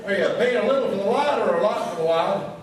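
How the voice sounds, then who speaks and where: Man as a high-voiced daft rambling sidekick, echoey. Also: lively intonation, fast talker, a crowd in the background. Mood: amiably muddled. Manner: folksy, obtuse, rambling wordplay. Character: daft, rambling small-town old-timer radio sidekick. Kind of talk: comic radio double act before an audience. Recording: distant, very echoey stage, audience behind.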